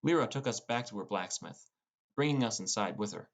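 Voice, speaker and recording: A man's voice: fairly low pitch, animated, fairly quick. A man reading scripted first-person fantasy narration as an audiobook narrator. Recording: music bed under voice. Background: prominent plucked guitar music.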